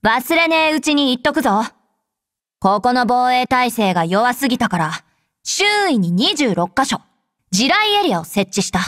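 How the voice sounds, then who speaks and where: A woman voicing a brash, tsundere young female android soldier in an anime game, with rough, blunt, tomboyish speech. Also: a little high, very animated, normal speed, fairly bright tone, no echo, clean studio sound. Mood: brusque, confident. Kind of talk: Japanese anime game character voice lines.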